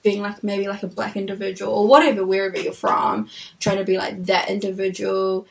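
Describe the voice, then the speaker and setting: A young woman in her twenties is chatting casually about her childhood. She tells stories with many fillers and little giggles, and she sounds relaxed and friendly. Her pitch is mid-range. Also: a little fast, gravelly, low-quality recording. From Ethiopia, raised in New Zealand. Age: 20s. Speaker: interviewee. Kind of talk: casual personal chat.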